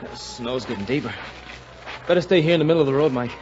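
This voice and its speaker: Guy doing nervous hesitant young soldier, 1940s radio play, expressive, dull fuzzy recording. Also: medium pitch, fast talker, noisy background, close to an everyday voice. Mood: tender concern. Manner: earnest, hesitant. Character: anxious young soldier, devoted husband.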